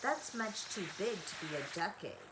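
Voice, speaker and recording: A woman's voice: medium pitch, lively intonation, moderate pace, noisy background, natural voice. An older woman voicing gossipy, doubting female farmyard ducks in a storybook, chatty and matter-of-fact. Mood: knowing.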